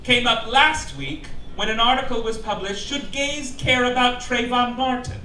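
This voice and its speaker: Theatrical high-pitched man doing live stand-up. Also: moderate pace. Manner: theatrical, campy, mock-official proclamations. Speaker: comedian, show host presiding as mock chairman. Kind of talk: stand-up comedy monologue read to a live audience. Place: cabaret club stage before a laughing audience. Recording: roomy live club, distant mic, loud noise.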